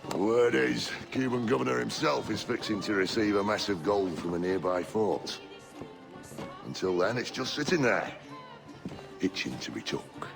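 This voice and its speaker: An actor playing a swaggering, foul-mouthed pirate captain in a video game, with a gravelly, mocking delivery. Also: male voice, fairly low pitch, expressive, moderate pace, music in the background. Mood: scheming. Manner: mocking, theatrical. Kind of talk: video game cutscene acting.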